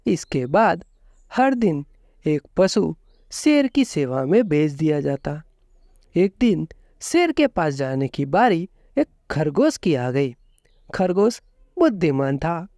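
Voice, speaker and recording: A storyteller narrates a scripted fable for children. The voice is mellow and unhurried, with lively swings in pitch. The sound is dry. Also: no room sound.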